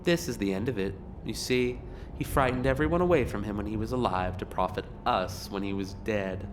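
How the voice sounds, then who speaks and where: A man as a callous low-voiced Victorian gossip. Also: fairly quick, music in the background, natural voice. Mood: scornful. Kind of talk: theatrical audio drama acting.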